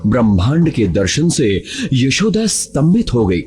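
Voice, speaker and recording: A man narrating a scripted children's story in an expressive, dramatic way. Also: mid-range voice, a little slow. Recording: music behind the voice.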